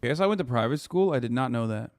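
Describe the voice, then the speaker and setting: A man's voice: mid-range voice, animated, background noise. A man streaming his reaction to a video, in casual, incredulous talk with frequent swearing. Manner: incredulous, swearing, quick asides.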